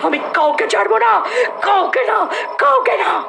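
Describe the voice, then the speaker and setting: A woman's voice: very high voice, animated, unhurried, creaky, shouting, music in the background, heavily acted, rough recording. A woman playing a possessed woman, the vengeful spirit of a burned mother, muttering and wailing as she repeats her words in a horror audio story. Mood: vengeful, menacing.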